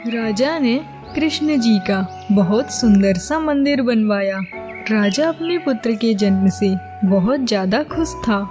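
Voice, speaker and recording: A woman's voice: a little high, animated, takes it slowly, over music. A woman narrating a scripted moral story as a storybook narrator.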